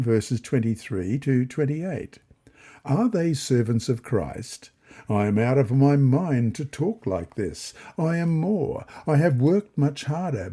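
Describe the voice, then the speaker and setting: A man reads a scripted religious lesson in a mellow, slightly husky voice. His pitch moves in a lively way as he goes, and his phrasing stays deliberate and measured. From Australia. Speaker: reader of Bible study lessons.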